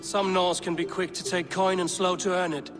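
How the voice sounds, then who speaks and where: An actor playing a stalwart Viking warrior in a video game, plain-spoken, terse and firm. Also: male voice, sits high, flat delivery, normal speed, fairly bright tone. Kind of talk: video game cutscene acting. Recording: prominent music behind the voice.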